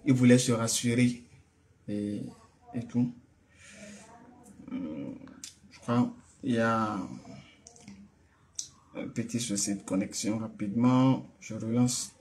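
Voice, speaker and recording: A man with a West African French accent speaks as the host of a casual religious phone call. His voice sits at a medium pitch. He is a little hesitant and often repeats a phrase before moving on. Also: fairly even intonation, slow pace, pauses often, darkish tone, slight background noise.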